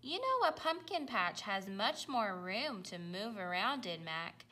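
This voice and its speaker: A woman's voice: medium pitch, very animated, unhurried, pushed and bright. A woman voicing a kindly, easygoing male farmer in a storybook, with a thoughtful way of talking.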